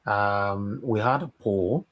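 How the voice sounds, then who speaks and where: A man with a fairly low voice hosts a live online panel. He moderates the speakers and thanks viewers by name, one after another. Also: slow pace, a bit bright. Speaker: host.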